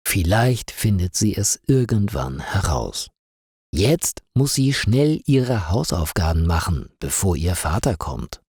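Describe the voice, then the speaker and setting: Slow, expressive male storyteller. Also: on the low side, slightly rough, no echo, studio quality. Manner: simple, carefully articulated storytelling. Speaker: story narrator for German learners. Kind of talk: scripted story narration for language learners.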